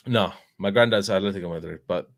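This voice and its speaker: London guy in his 30s, lowish voice, dry close sound, chatty, keeps saying yeah bruv. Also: fast, no room sound. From England. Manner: animated storyteller, lots of filler tags. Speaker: fan channel host. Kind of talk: casual chat.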